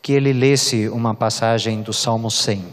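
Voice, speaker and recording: A woman's voice: rumbling low, steady tone, normal speed. A woman with a Brazilian accent interpreting a church address live, phrase by phrase. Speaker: consecutive interpreter. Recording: echoey hall with long reverb.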